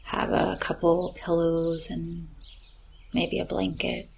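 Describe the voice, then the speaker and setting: A woman guiding a relaxation meditation in a gentle, soothing, often breathy voice with long unhurried phrases. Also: lowish voice, little pitch movement, slight background noise. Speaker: yoga nidra meditation guide. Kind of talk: slow guided relaxation meditation. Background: chirping birds, nature ambience.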